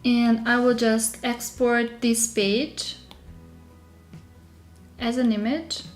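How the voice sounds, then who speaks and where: A woman from Serbia with a Serbian accent, slightly under the weather, chatting casually and haltingly as she hosts a live tutorial. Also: a little high, flat delivery, soft background music. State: slightly unwell with a sore throat. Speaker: digital journaling creator hosting a live stream.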